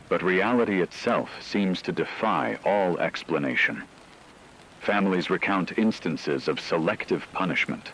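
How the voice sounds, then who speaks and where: A man playing a radio newsman who gravely reports a supernatural horror in formal, ominous scripted narration. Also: deep voice, fairly bright tone, slight background noise, exaggerated voice, low-quality recording. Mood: grave, solemn.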